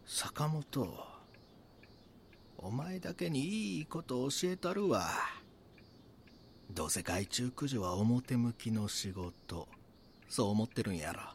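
An actor with a Kansai accent playing a brazen, scheming small-time swindler in a voice drama, talking in a rough, conspiratorial, boastful way. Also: male voice, fairly low pitch, unhurried, slight background noise, close to an everyday voice. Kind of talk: voice drama acting, true-crime reenactment.

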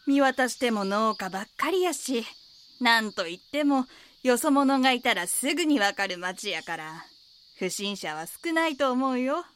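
A woman's voice: on the high side, expressive, normal speed, a bit bright, smooth. A woman playing a chatty, helpful small-town local with a Kansai accent in a neighbourly, folksy audio drama role. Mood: friendly. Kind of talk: Japanese audio drama acting.

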